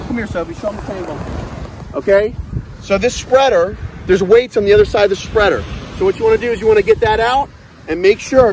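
A man in his thirties hosting a fishing channel, upbeat, demonstrating a cast net outdoors on a sandy beach and calling the viewers "guys". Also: very high voice. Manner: upbeat, repeats "guys" and "okay", talks while handling the net. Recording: outdoor beach noise behind the voice.

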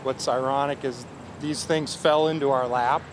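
A man speaks in a relaxed, matter-of-fact way with very little rise and fall in his voice. faint outdoor noise hums behind him. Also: mid-range voice, normal speed. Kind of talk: documentary interview.

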